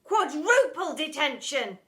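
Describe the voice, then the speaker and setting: A woman voicing a stern, cruel schoolmistress in a storybook, with imperious, drawn-out, emphatic pronouncements. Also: high voice, big pitch swings, a bit bright, voice pushed up, exaggerated voice. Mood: relentlessly punitive. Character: stern, cruel, shrieking schoolmistress who relishes punishing children. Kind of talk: storybook character voice in read-aloud.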